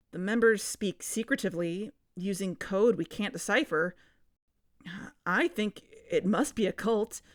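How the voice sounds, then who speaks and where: A woman's voice: medium pitch, no room sound, clean studio sound, natural voice. A woman playing an earnest, plain-spoken investigator in a naturalistic audio drama.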